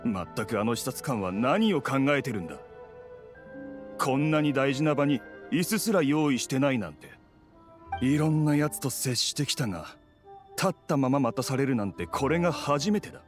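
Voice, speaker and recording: Game role: arrogant young merchant heir, man performer, medium pitch, curt, fault-finding. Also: animated, moderate pace, faint music behind, lightly acted. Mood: irritated. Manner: complaining, blunt. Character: haughty, impatient young merchant's son. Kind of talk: anime video game dialogue.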